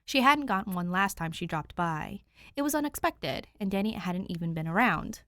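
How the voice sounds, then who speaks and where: A woman with a fairly low voice narrating fan fiction in an even, storytelling way. She reads close to a home microphone, and the sound is dry and intimate. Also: moderate pace, no echo. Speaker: podfic reader.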